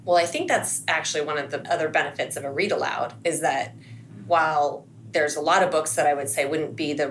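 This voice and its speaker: Low-pitched woman explaining, faint noise. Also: rough recording. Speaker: school administrator, podcast guest. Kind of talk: conversational explanation and advice. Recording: faint background room noise.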